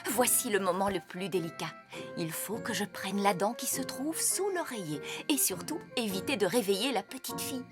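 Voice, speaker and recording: A woman voicing a bossy fairy nanny who works as a tooth fairy, brisk, theatrical and admonishing, in a dubbed children's cartoon. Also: medium pitch, lively intonation, music in the background, close to an everyday voice. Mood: cautious, admonishing.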